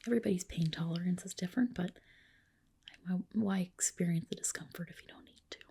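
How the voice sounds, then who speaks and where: A woman whispering gently and reassuringly in a breathy voice, playing a spa clinician in an ASMR roleplay. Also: on the low side, fast, slight background noise.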